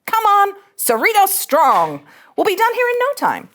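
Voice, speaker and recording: Brash young ensign, high and animated, sarcastic, irreverent, cartoon sci-fi comedy. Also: female voice, normal speed, exaggerated voice. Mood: urgent, flippant. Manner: sarcastic, blunt.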